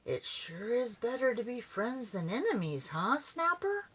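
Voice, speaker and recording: A woman's voice: a little high, very animated, a little slow, a bit bright, slightly rough, pitched above the performer's own voice, full character voice. A woman voicing a cocky, nasty dog in a children's storybook. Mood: sly.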